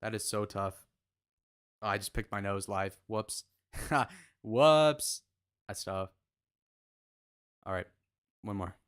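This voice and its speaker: A man's voice: fairly low pitch, slow pace, long pauses, a bit bright, clean tone. A young man streaming live, reacting to clips with blunt, mocking, crude running commentary in a casual way.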